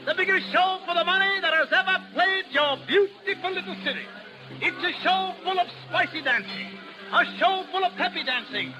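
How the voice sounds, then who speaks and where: A brash American carnival showman, pitching his sideshow in a very high, projected voice. He declaims folksy ballyhoo in 1930s radio style, with music clearly playing behind him on the old recording. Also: normal speed, poor audio. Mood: hearty pitching. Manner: declamatory, folksy sales patter. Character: carnival barker, brash good-natured male showman coaxing the crowd.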